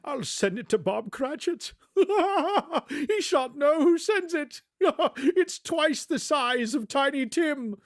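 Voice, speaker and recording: A giddy, exclaiming old gentleman. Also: very high-pitched, slow pace, no room sound, studio quality, pitched above the performer's own voice, exaggerated voice. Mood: giddy glee. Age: older. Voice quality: excitable, exclaiming, breaking into chuckles. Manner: effusive, courteous, old-fashioned British phrasing. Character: elderly reformed miser, giddy with joy and generosity. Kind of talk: theatrical storybook radio-play acting.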